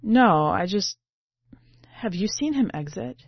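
A woman's voice: mid-range voice, lively intonation, slow, breathy edge, full character voice. A woman voicing an anxious, earnest young male ticket taker, insistent and uneasy, in a story reading. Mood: hesitant. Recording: muffled, roomy home recording.

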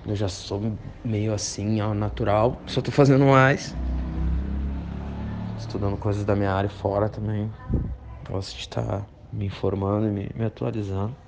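Reflective man, low flat voice. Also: moderate pace, vocal fry, a little hiss or hum. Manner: reflective, hesitant, many fillers. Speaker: interviewee. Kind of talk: casual interview answer.

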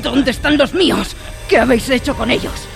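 A man's voice: very high voice, moderate pace, gravelly, music in the background. A male performer playing a brave, earnest young cabin boy in a radio drama, with a defiant way of talking. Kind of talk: Spanish radio drama acting.

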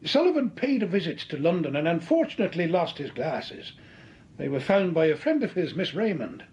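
An actor playing a jovial, needling schemer with a lilt in a 1940s-style radio drama. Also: male voice, a little high, very animated, a little fast, a little mellow, lo-fi sound. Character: smooth-talking scheming man, Patrick Quinn.

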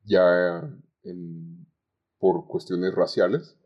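A young Mexican man talking conversationally as a podcast guest about his experiences, with halting phrasing and repeated words. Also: low-pitched, little pitch movement. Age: young adult. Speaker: podcast guest, call center agent. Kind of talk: conversational interview, recounting work anecdotes. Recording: dull, lo-fi sound.

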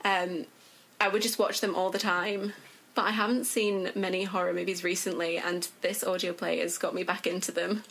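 Female interview guest, performer, slightly low pitch, casual conversational delivery. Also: flat delivery, moderate pace, gravelly, a bit bright, low-quality recording. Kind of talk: casual chat.

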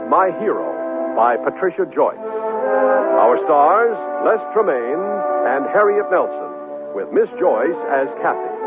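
High-pitched, animated male radio announcer. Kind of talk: formal radio show introduction. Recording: tinny radio broadcast under loud music.

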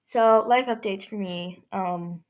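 A teenage girl hosting her own podcast in a rambling casual chat, her voice hoarse and scratchy from overuse. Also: medium pitch, slow, darkish tone. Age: teens. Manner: rambling, many fillers and restarts. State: hoarse, strained voice. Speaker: podcast host.

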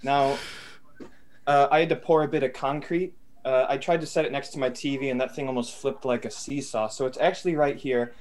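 Man joking deadpan on a group call. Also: mid-range voice, fairly quick, a little hiss or hum. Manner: jokey, deadpan mock-serious. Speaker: podcast co-host. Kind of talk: casual group chat. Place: home, far from family.

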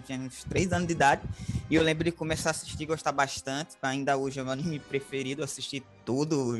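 A man's voice: on the high side, a bit bright. A man from Salvador with a northeastern Brazilian accent, giving a casual, conversational account of himself as an interview guest.

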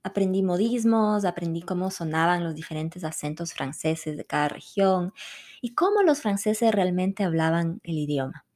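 A middle-aged woman tells her own story in a measured, unhurried way, speaking. Her voice sits a little low for a woman. She reads the script calmly as the host of her own podcast. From Ecuador. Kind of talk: scripted personal narration.

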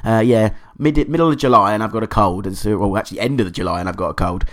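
A man hosting a podcast, hoarse from a cold, chatting in an enthusiastic, rambling way. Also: fairly low pitch, quick pace, a bit bright. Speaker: podcast host. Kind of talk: casual podcast monologue.

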